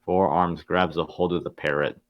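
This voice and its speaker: Male table-read narrator: low voice, informal, stumbling script reading, dry recording. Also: flat delivery, moderate pace, no echo, muffled recording. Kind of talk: reading script stage directions aloud.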